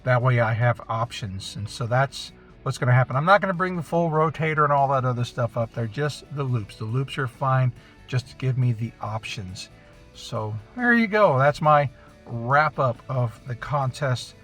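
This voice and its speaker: A man's voice: medium pitch, lively intonation, normal speed, a bit bright, faint music behind. A man giving a casual, unscripted recap as an amateur radio vlogger, chatty and informal, thinking aloud as he goes.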